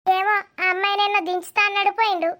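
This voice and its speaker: A nosy, affectionate village aunt in a cartoon comedy, put on by a woman, with an extremely high voice. She fusses and chatters question after question in a Telangana accent. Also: little pitch movement, quick pace, bright, forceful tone. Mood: gossipy. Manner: inquisitive, chattering.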